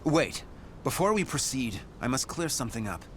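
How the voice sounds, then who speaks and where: An actor playing an earnest, loyal warrior comrade in a video game, speaking formally and deliberately. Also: male voice, mid-range voice, sing-song, a little hiss or hum. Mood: probing, serious. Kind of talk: video game cutscene acting. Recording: loud music underneath.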